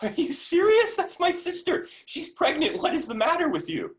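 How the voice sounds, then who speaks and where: A man's voice: extremely high pitch, huge pitch swings, normal speed, exaggerated voice. An actor playing an emotional, bitter and sarcastic man in a staged play reading. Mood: shocked, outraged. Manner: emotional, sarcastic, stammers when worked up. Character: volatile, bitter man caught in a love affair.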